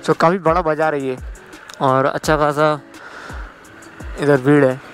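A man's voice: fairly high pitch, fairly even intonation, a little slow. Chatty man giving a street tour. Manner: chatty, explains directions to viewers. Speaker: travel vlogger. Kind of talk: casual guiding commentary. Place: busy street market at night, outdoors. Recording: background music over loud crowd. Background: crowd noise with music.

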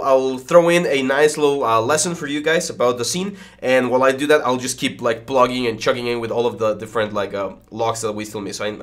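A man in his thirties talks through a 3D modelling tutorial in an easy, chatty way, slightly congested and full of fillers and restarts. His voice sits at a middle pitch for a man. He is recorded close on a headset mic in a small home studio. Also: fairly bright tone. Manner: rambling, frequent fillers and stammering. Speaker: 3D modelling instructor. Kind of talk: casual screen-recorded tutorial. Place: small home studio room with foam panels. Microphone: headset with boom mic.

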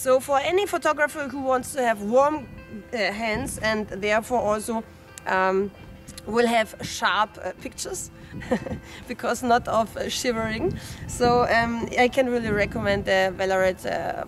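Austrian woman doing a casual gear review outside, highish voice, bit halting, faint music behind her. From Austria, Salzburg region. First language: German. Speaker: professional photographer presenting a product review. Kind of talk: informal product review to camera. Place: outdoors in an open snowy paddock.

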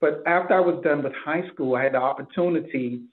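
A man's voice: on the high side, brisk. A middle-aged man from the Bahamas answering interview questions as a hospital executive over a video call. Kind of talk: interview answers about career. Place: office, indoors. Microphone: computer mic on a video call. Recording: thin, phone-like video call audio.

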